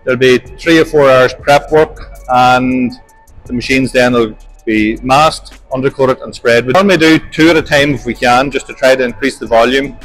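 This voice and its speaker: A man's voice: medium pitch, normal speed, fairly bright tone, poor audio. Middle-aged man, practical walk-through guide, plain explaining manner, faint music behind. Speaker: workshop manager giving a tour. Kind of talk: walking tour explanation. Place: large industrial workshop indoors.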